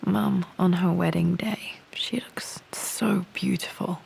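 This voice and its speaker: An actress playing a pensive young British woman explorer in a video game, murmuring to herself in hushed tones as she searches. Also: on the low side, fairly even intonation, slow, vocal fry, whispered, exaggerated voice. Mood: pensive, searching. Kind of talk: video game cutscene acting, musing to herself.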